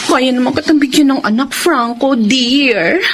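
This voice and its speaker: A woman's voice: high-pitched, unhurried, over a noisy crowd. A woman playing a seductive, scheming mistress in a radio melodrama, coaxing and insinuating as she speaks. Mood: coaxing, scornful.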